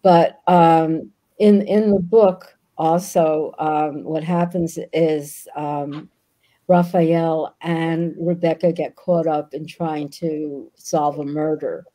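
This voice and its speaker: Older woman, low mellow voice, many ums. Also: fairly even intonation, slow pace. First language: Yiddish. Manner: thoughtful, frequent ums. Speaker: guest author. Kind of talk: video call interview. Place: home room with bookshelves. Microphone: video call.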